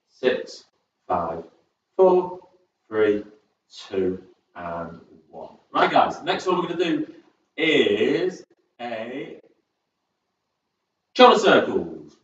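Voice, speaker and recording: A man with a northern English accent leads a seated workout, cheerful and rambling, his pitch bouncing up and down as he counts down the reps. He is heard from across a very echoey room, and the sound is muffled. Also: slight background noise. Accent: northern English, Southport. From England, born in Birmingham, raised in Southport. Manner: chatty, upbeat, rambles between cues, counts down reps. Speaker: fitness instructor. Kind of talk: chair-based exercise class, livestream. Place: large echoey room at a community sports centre. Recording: very echoey, distant and dull.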